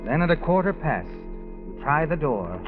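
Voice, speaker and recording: An actor playing a knowing, ominous narrator who tells an old radio mystery to its heroine. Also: male voice, a little high, big pitch swings, unhurried. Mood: knowing, suspenseful. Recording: dull vintage radio recording. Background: dramatic music.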